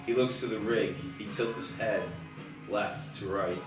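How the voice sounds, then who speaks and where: Male screenplay narrator, low voice, recorded far off in a very echoey room. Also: unhurried. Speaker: screenplay narrator at a table read. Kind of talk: scripted narration, reading stage directions. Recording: distant echoey room, clear music behind.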